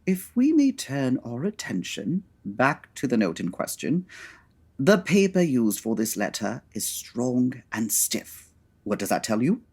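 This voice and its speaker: A woman playing a pedantic male Victorian detective in a comedy audio drama, with a British accent and formal, exacting, husky delivery. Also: extremely low pitch, very animated, measured pace, a little mellow, full character voice. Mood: instructive.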